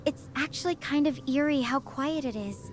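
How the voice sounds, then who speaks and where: A text-to-speech woman's voice playing a stranded young researcher who gives earnest, measured explanations. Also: high-pitched, slight background noise, lightly acted. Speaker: astrophysics researcher.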